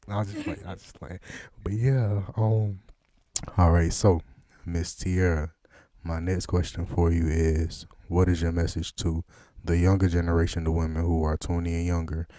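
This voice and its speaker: Low mellow guy hosting an interview, loose slangy jokes. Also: steady tone, normal speed, no room sound. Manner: slangy, joking banter with laughs. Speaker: interviewer and host. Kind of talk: casual interview chat.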